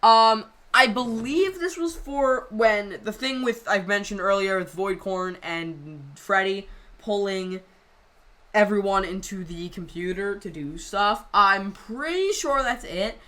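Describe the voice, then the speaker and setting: A woman creator talking casually over a video, rambling and halting with lots of fillers. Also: mid-range voice, expressive, a bit bright. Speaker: channel creator.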